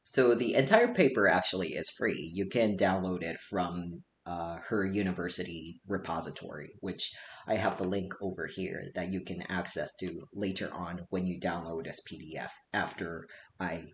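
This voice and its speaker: Deep-voiced man. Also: normal speed. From Japan. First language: Japanese. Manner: loose and conversational, frequent "like" fillers. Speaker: presenter. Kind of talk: casual spoken lecture. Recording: echoey room with faint hiss.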